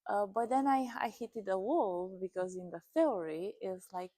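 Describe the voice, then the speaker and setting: A woman from Romania talks as a guest in a casual interview. She is animated, laughs easily and often rephrases her thoughts mid-sentence. Her voice sits mid-range with a dark, mellow warmth. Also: mid-range voice, normal speed.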